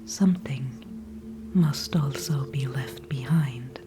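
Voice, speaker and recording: A woman voicing an ancient, calm and wise female tree spirit in an ASMR audio roleplay, speaking only in a soft whisper with formal, old-fashioned phrasing. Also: rumbling low, slow pace, music in the background, rough recording. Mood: calm, knowing. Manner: soft unvoiced whisper throughout.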